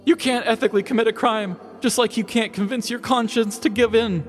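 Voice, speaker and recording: A man's voice: extremely high pitch, steady tone, fairly quick, big-room sound, faint music behind, voice pushed up. A man voicing a pushy imaginary woman roommate who pleads in a raised voice. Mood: pleading.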